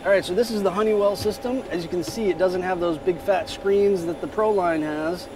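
A man in his thirties, a pilot giving a casual video tour of a small jet cockpit, talking in an easygoing way with many fillers, recorded on a camera held at arm's length. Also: high voice. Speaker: corporate pilot vlogger. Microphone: phone or camera held at arm's length. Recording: noisy airport background.

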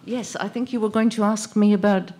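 A middle-aged woman moderating a panel discussion, thoughtful and halting as she searches for words. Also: medium pitch, fairly even intonation, dark tone. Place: indoor panel event in a plain gallery room. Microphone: handheld microphone.

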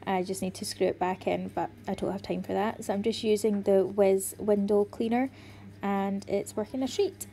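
A woman's voice: medium pitch, fairly even intonation, normal speed. A young pregnant woman, tired but chatty, narrating a home cleaning video in voice-over. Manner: chatty, friendly, explaining each step. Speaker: cleaning vlogger.

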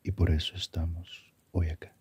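Casting: male performer as calm, philosophical Death for ASMR roleplay; very low, husky, breathy half-whisper, gentle and musing. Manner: unhurried, musing, asks reflective questions.

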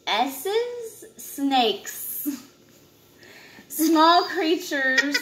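A woman in her thirties reading a children's nature book aloud at home in an expressive way, with asides to young listeners. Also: sits high. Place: indoors, open living room and kitchen at home. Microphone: camera a short distance away. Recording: echoey room with clear background noise.

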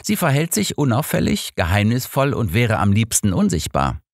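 A man's voice: mid-range voice, wildly swooping pitch, normal speed, gravelly, no room sound, studio quality, pitched above the performer's own voice, heavily acted. A man reading an audiobook, voicing a shrewd, assured old master detective who speaks formally and analytically. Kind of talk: audiobook character dialogue.

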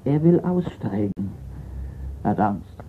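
An actor playing a resigned art forger on the run in a radio crime drama, terse and subdued. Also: male voice, lowish voice, expressive, slow pace. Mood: furtive, urgent. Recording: tinny band-limited radio recording with hiss.

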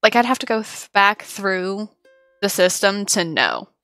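A woman's voice: mid-range voice, sing-song, measured pace, fairly bright tone, no room sound. A woman hosting a reaction video, chatting casually in a rambling, hesitant way with trailing thoughts. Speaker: host of a reaction channel. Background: faint music.

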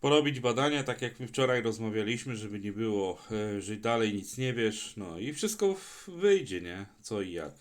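Low-voiced man chatting as a radio operator. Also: lively intonation, moderate pace, few pauses. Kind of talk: casual chat in turns on CB radio.

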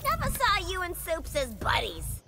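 A woman's voice: extremely high pitch, huge pitch swings, moderate pace, gravelly, background noise, full character voice. An actress playing a cheeky, brash young female supervillain in a video game, with a New York accent and sassy, slangy, theatrical delivery. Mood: teasing. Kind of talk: video game character acting. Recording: loud game ambience and effects behind.

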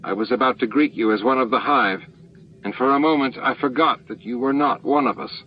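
A man's voice: medium pitch, fairly quick, pitched above the performer's own voice, natural voice. A man voicing an earnest, resolute alien hive master in an audiobook, speaking formally and emphatically. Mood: earnest gratitude. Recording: dull, noisy lo-fi book-on-tape recording.

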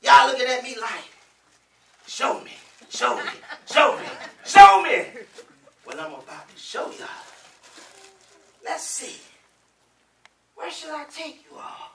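A man playing a lovestruck, exuberant older husband in a stage play, talking to the audience in a folksy, boisterous way with drawn-out exclamations. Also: very high voice, big pitch swings, creaky, fairly bright tone, a little hiss or hum, full character voice. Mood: tender, playful. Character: exuberant, lovestruck older husband, married thirty-five years. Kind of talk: theatrical stage monologue to the audience. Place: indoor theater stage with living-room set. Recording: echoey stage, picked up from far off.

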